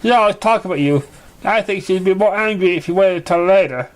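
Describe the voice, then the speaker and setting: Loyal young filly, voiced by a man, high-pitched and concerned, asking questions in a storybook tale. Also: a little fast, lightly acted. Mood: concerned, encouraging.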